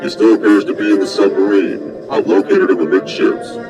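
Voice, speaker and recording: A man's voice: lowish voice, huge pitch swings, a little fast, over loud music. A man playing a vengeful, obedient alien warrior officer with an intense, formal military manner in a science fiction audio drama. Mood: dutiful, intense.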